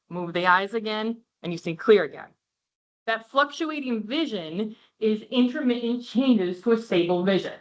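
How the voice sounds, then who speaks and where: Big-voiced woman giving a health talk. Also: medium pitch. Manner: warm, passionate, clear explaining. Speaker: eye doctor presenting. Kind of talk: educational health presentation. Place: room with a live audience, talking away from the mic. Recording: dull lo-fi room recording.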